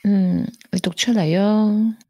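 An actress playing a gentle, grieving young woman weighed down by guilt, in a Hong Kong Cantonese radio drama. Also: medium pitch, fairly even intonation, slow pace, no room sound. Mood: uneasy, confiding.

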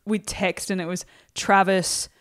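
A young woman co-hosts a casual podcast chat, talking in a dry, sardonic way. She rambles through her thoughts, swearing freely, at a middle pitch. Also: little pitch movement, measured pace, a bit bright. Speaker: podcast co-host.

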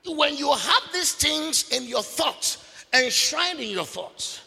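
High, gravelly preacher. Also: male voice, lively intonation, slow pace. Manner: emphatic, repetitive, bursts of shouting. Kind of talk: sermon. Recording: long reverb of a large hall.

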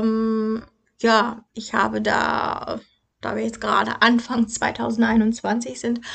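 A woman's voice: a little high, creaky. A young woman hosting her own podcast, talking in a casual personal monologue, hesitant with many fillers and restarts. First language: German. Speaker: podcast host.

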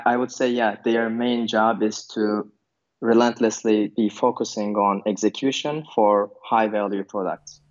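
A man from Turkey with a low voice answers interview questions in a loose, searching way, full of fillers and restarts. The recording is dull and lo-fi. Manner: thinks aloud with many fillers and restarts. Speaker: podcast guest, product manager. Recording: dull lo-fi sound.

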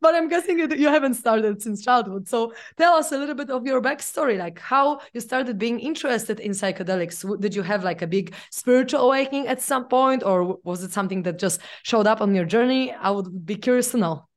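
Enthusiastic woman host chatting casually on a podcast, voice a bit high. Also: a little fast, few pauses. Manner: upbeat, enthusiastic, with fillers. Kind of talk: casual interview chat.

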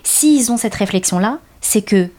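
A woman's voice: medium pitch, lively intonation, unhurried, mellow. A woman from the Paris suburbs talks earnestly in a casual conversation. She tells her own experiences with animation, often restarting her sentences. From Paris suburbs, France.

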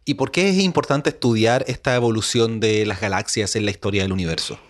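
A man's voice: lowish voice, fairly quick. A man hosting an interview podcast, chatty and eager, who thinks aloud as he asks questions. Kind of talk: conversational interview.

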